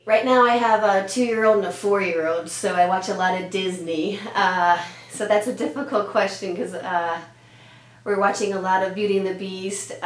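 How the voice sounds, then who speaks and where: A woman in her thirties, born in Denmark with Danish as her first language, chatting casually as a pediatrician answering interview questions, with lots of "uh" and "um". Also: lowish voice. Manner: chatty, with frequent "uh" and "um" fillers. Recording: echoey room with faint hum.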